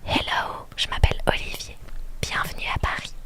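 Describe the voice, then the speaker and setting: A woman's voice: normal speed, bright, forceful tone, lightly acted. A woman voicing a charming, bookish, friendly young man from a story game, in a whisper.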